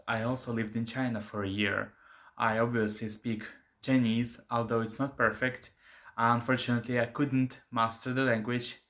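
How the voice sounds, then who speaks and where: A man's voice: mid-range voice, a bit bright. A young man for whom English is a second language, hosting his own channel with hesitant commentary full of filler sounds. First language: not English. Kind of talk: casual commentary to camera.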